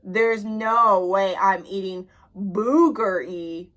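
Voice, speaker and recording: A woman's voice: medium pitch, drawn-out words, fairly bright tone. A woman voicing a blunt, teasing schoolgirl in a read-aloud picture book.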